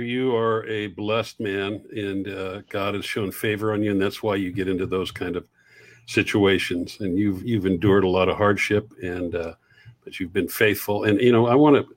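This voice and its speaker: Low-voiced male interview host. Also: no room sound. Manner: affirming, encouraging.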